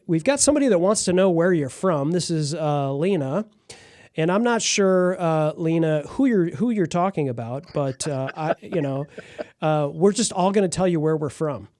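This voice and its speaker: A man's voice: a little high, darkish tone, no room sound, clean studio sound. A man from Detroit hosting a podcast, asking questions in a loose, rambling conversational way. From Detroit, Michigan. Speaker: podcast host. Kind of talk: casual interview chat.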